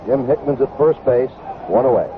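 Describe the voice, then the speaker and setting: A man's voice: medium pitch, fairly quick. An American man calling baseball play-by-play live, in clipped short phrases. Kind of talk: live sports commentary. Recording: tinny, noisy vintage broadcast.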